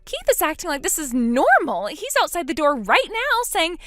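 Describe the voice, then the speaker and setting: Lady doing a scared young bride, high pleading voice, jumps all over the place, dry close sound. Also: wildly swooping pitch, moderate pace, fairly bright tone, no echo, exaggerated voice. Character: frightened young woman, trapped bride.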